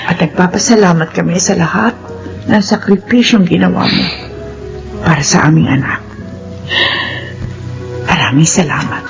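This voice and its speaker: Remorseful, high, creaky Filipino parent. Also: male voice. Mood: grateful, solemn. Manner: solemn, apologetic, deliberate. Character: remorseful, grateful employer and parent. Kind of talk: Filipino radio drama acting. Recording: dull radio recording with faint music.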